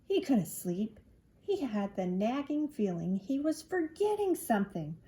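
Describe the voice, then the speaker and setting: A woman with a fairly high voice reads a children's story aloud at a very slow pace. Her narration is expressive, with drawn-out emphasis meant to engage young listeners.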